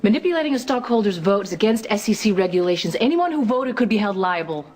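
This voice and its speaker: A woman's voice: mid-range voice, lively intonation, quick pace, a little mellow, close to an everyday voice. An actress playing a shrewd, pointed middle-aged woman from a wealthy soap opera family. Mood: accusing.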